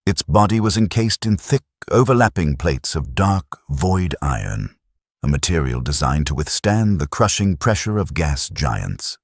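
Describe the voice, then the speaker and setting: A man reads a science fiction audiobook in a very deep, husky voice. His delivery is dramatic and measured, with lively swings in intonation. The sound is close and dry. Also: dry recording. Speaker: narrator. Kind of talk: scripted audiobook narration.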